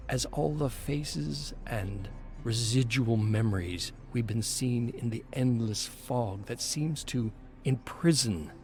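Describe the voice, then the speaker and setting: An actor playing a brooding screenwriter in a game, reading his journal with deliberate, theatrical pensiveness. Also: mid-range voice, a little mellow. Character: brooding male screenwriter musing over his haunted journal. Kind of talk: scripted dramatic journal narration. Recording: music behind the voice. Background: music.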